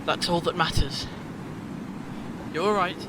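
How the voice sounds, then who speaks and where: High-voiced, earnest protective hero played by a man. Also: steady tone, slow pace, very noisy. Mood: reassuring. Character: urgent, protective male time-travelling investigator, quick-witted and reassuring. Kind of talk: fan audio drama acting.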